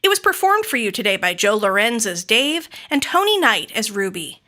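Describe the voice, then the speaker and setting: Female podcast host, bright and lively, highish voice, scripted outro read with light music underneath. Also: normal speed.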